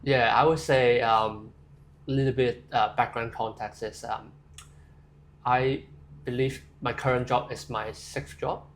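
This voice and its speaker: A man's voice: medium pitch, steady tone, a little slow. A young man from Hong Kong, answering interview questions casually and thoughtfully, with frequent fillers and restarts. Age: young adult. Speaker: interview guest.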